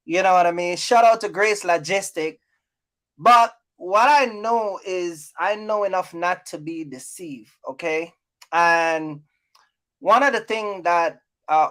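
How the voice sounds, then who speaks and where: A man's voice: sits high, fairly bright tone. Man hosting a casual livestream, repeating himself. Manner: repeats himself, informal street slang. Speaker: live stream host.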